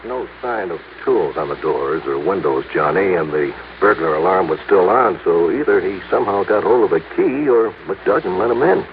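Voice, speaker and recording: An actor playing a gruff, touchy police lieutenant in a blustery mid-century radio drama style. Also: male voice, low-pitched, expressive, normal speed, hardly any pauses. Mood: businesslike. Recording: tinny old radio broadcast, faint noise.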